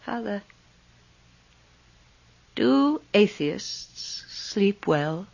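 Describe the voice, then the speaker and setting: An actress playing a proud, stubborn mistress of a haunted house in a radio drama, with a firm, formal manner. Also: female voice, mid-range voice, animated, very slow, vocal fry, noisy background, exaggerated voice. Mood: defiant. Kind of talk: 1980s radio drama acting. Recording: slightly dull 1980s radio recording.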